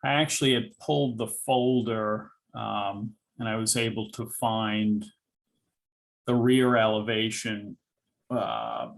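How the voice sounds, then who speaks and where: A man presents design plans at a board meeting in a somewhat low voice with a gravelly creak. He speaks slowly and informally, halting and hesitating as he explains. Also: lively intonation. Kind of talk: informal presentation with questions and answers.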